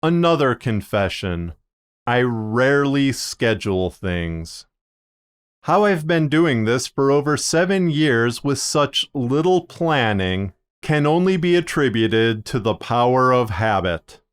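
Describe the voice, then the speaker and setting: A man narrating a scripted video as a podcaster, speaking in measured, deliberate phrases with short pauses. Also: medium pitch, sing-song, clear, resonant tone. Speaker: podcaster and fiction narrator presenting a video series.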